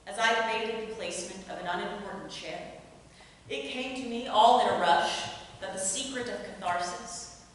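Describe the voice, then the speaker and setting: A woman's voice: medium pitch, moderate pace. A woman performer reading aloud and speaking to an audience at a live showcase. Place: reverberant indoor performance space. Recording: echoey hall, far mic, faint noise.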